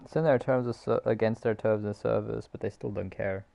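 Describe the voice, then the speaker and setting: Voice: mid-range voice, steady tone, a little fast, gravelly, warm tone. A game streamer giving casual, off-the-cuff gameplay commentary with some swearing.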